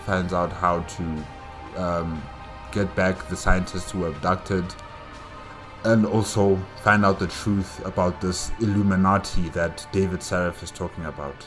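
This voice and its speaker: A man with a very low voice hosts a reaction video at a slow pace. He recaps and comments casually, pausing as he thinks aloud, with music behind him. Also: steady tone.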